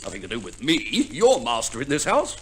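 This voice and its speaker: Peevish, animated British husband, noisy recording. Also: male voice, mid-range voice, fairly quick. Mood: grumbling, dry. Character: peevish, dryly sarcastic English gentleman husband. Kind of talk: 1950s radio play acting. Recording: noisy old radio recording.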